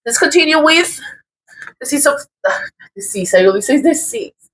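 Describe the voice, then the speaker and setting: Colombian lady doing a tarot reading, kinda high voice, stops and restarts a lot. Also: lively intonation, a bit bright, rough recording. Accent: Colombian Spanish. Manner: thoughtful, halting, often restarts words. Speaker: tarot reader.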